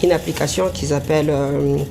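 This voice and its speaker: A woman's voice: sits low, steady tone, brisk, gravelly. A Congolese woman, an interview guest, answering in a hesitant, casual way with many fillers. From Congo (DRC). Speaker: interview guest, business owner in humanitarian work. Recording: noisy TV interview recording.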